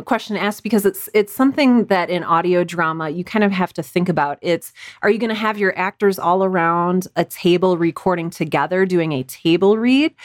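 A woman's voice: fairly low pitch, no echo, studio quality. An American woman giving conversational interview answers as a podcast guest, explaining things with enthusiasm and emphasis. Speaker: guest, audio drama podcast creator.

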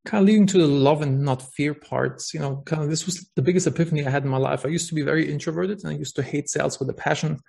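Gravelly German-accented man, earnest guest. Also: fairly high pitch, quick pace, hardly any pauses, darkish tone. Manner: earnest, hesitant, many fillers and restarts. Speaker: podcast guest, software founder. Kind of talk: casual interview talk.

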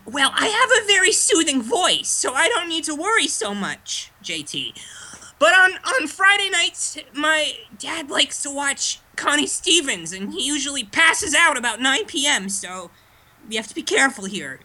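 A man putting on a high, prim, hushed voice. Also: very animated, moderate pace, full character voice. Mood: hushed, fussy. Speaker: podcast guest. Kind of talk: comedic podcast bit.

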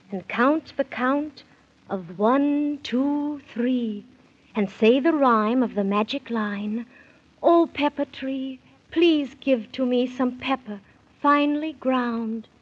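Slow, stately fairy queen. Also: high-pitched, animated, breathy edge, heavily acted. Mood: benevolent, instructing. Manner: stately, incantatory rhymed delivery. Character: regal, benevolent fairy queen speaking in rhyme. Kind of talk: 1930s children's radio drama acting. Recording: dull 1930s radio recording.

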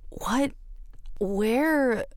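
A woman's voice: on the high side, animated, extremely slow, no echo, clean studio sound, voice pushed up. Woman acting a tipsy, wary young clubgoer. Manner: casual, sceptical, off balance. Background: music.

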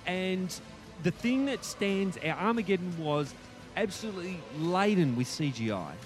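A man's voice: high-pitched, lively intonation, normal speed, soft background music. A man co-hosting a casual film review podcast, talking in a thoughtful, rambling, hedging way. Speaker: podcast co-host reviewing films. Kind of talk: casual movie review chat.

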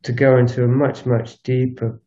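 A man recording a solo spoken audio log on a walk, musing in a halting, unscripted way and circling back over his phrases. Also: mid-range voice, flat delivery, warm tone. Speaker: podcast host. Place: outdoors, recorded while walking. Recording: dull lo-fi outdoor recording.

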